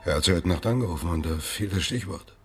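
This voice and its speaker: A man's voice: deep voice, fast talker, a bit bright, faint music behind. An actor playing a terse, guarded man in a radio crime drama. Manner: terse, controlled. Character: terse, guarded male actor turned investigator.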